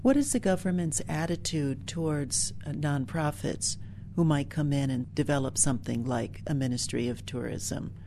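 A woman working as interviewer and narrator, giving measured narration and questions. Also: low-pitched, mellow, a little hiss or hum.

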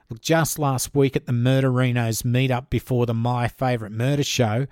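A man's voice: medium pitch, darkish tone, no echo, studio quality. Chatty Australian man hosting a podcast. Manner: chatty and informal, addresses listeners by name. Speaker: podcast host. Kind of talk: solo podcast reading listener questions.

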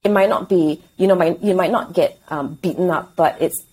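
Female interview guest, Singaporean accent, dark mellow tone, slightly low pitch, thinking aloud conversationally. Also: normal speed, slightly rough, low-quality recording.

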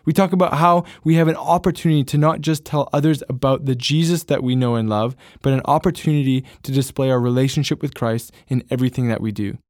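A man's voice: medium pitch, steady tone, quick pace, few pauses, darkish tone, no room sound, studio quality. A man hosting a faith podcast, introducing his guest in an upbeat and friendly way. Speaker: podcast host.

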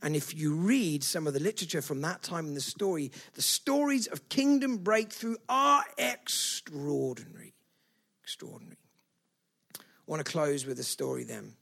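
Guy preaching in church, husky gravelly voice, keeps saying right?. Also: on the high side, big pitch swings, normal speed, fairly bright tone. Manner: emphatic, repeats "right?". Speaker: pastor. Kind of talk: sermon.

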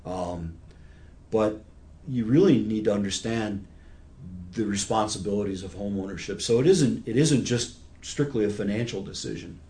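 Low-pitched man in his sixties. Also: moderate pace, mellow. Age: 60s. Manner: explains patiently with everyday examples. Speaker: guest financial expert. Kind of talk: conversational explanation in an interview.

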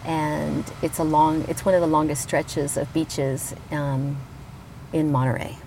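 Woman, 50s, from Colombia, gravelly, reflective, interview guest. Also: deep voice, little pitch movement, a little slow, slight background noise, poor audio. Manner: reflective, warm, with frequent ums and soft laughs. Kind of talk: casual conversation. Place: outdoors at a beach.

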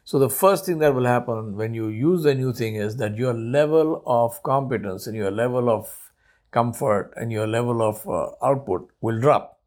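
A man in his seventies from India, whose first language is Urdu, hosting a solo podcast in a thoughtful, unhurried way with many pauses. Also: medium pitch, darkish tone. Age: 70s. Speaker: podcast host. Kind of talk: reflective solo podcast monologue.

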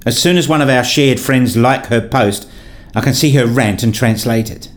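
A man's voice: medium pitch, normal speed, close to an everyday voice. Wry, nerdy dad played by a man. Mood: wry narration. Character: nerdy, wry, playful husband and father. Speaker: narrator and lead actor in a radio play. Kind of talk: first-person audio drama narration and dialogue.

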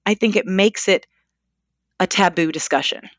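A woman's voice: medium pitch, moderate pace, a bit bright, dry recording. A woman on a podcast speaking earnestly, drawing on her own research and experience. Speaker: podcast panelist. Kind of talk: podcast discussion.

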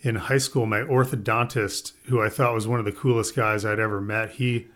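A man who grew up in the Midwest, a winemaker talking as a podcast guest in a relaxed, rambling way. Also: lowish voice, normal speed. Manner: relaxed, rambling, with fillers and laughs. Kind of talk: casual interview chat.

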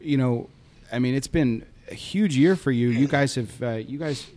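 A man's voice: mid-range voice, mellow. A man in his thirties co-hosting a podcast, chatting casually with lots of restarts and fillers. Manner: halting, many fillers and restarts, friendly. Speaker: podcast co-host.

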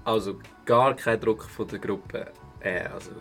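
A man's voice: on the low side, measured pace, fairly bright tone, faint music behind. A man playing an easygoing young friend who coaxes others along at a party, in a contemporary radio play. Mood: coaxing.

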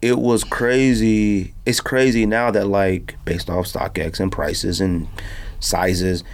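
A man's voice: fairly low pitch, flat delivery, slow pace. A man hosting a podcast in casual, slangy conversation, telling stories and acting out quotes. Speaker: podcast host.

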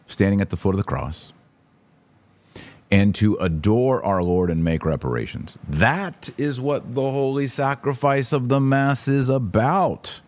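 A man's voice: lowish voice, darkish tone. A middle-aged American man hosting an opinion podcast, commenting emphatically with exasperation and personal anecdotes.

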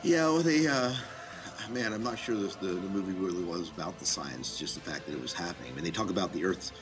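A man's voice: low voice, animated, fast, rough recording. A man co-hosting a podcast, chatting casually as he reviews a movie. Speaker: podcast co-host reviewing films. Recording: music playing behind the voice.

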